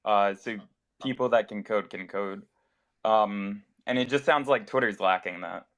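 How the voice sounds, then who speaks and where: A man's voice: on the low side, unhurried, a bit bright. A man speaking casually in a tech discussion, as a developer on a panel.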